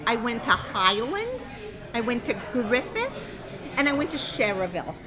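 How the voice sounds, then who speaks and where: A woman's voice: fairly high pitch, big pitch swings, unhurried, crowd noise. A woman voicing a chatty, scatterbrained woman makeup artist to the stars, rambling and giggly. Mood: breezy. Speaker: comic guest. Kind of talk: improvised comedy talk show bit.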